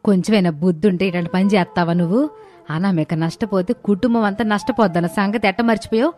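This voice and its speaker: A woman's voice: medium pitch, fast, darkish tone, close to an everyday voice. A woman acting the earnest women of a household in a dramatized family moral story. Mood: scolding. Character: earnest young Indian daughter-in-law and her scolding household women. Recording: music behind the voice.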